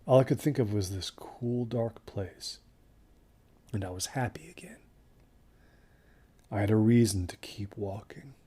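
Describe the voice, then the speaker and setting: An actor playing a traumatized, exhausted man recounting a doomed desert trek in a halting, hushed horror audio drama narration. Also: lowish voice, slightly rough. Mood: dazed, hushed. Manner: halting, hushed, haunted delivery.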